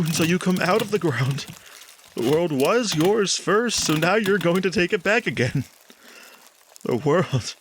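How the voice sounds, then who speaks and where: An actor playing a guilt-ridden, horror-struck scientist losing his mind in a 1940s-style radio drama, halting and repeating himself melodramatically. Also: fairly high pitch, a bit bright, a little hiss or hum. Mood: frantic terror.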